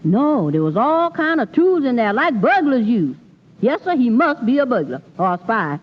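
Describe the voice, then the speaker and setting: An actress playing an affectionate, gossipy housemaid in old-time radio comedy, talking in a folksy, colloquial way. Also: female voice, a little high, sing-song, moderate pace. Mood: eager, gossipy. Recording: muffled old radio recording.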